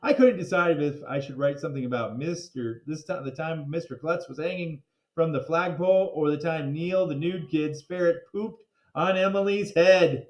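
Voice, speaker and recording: A man giving an animated read-aloud of a children's chapter book, expressive, drawing out words and throwing in interjections. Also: on the high side, normal speed. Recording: roomy, echoing sound.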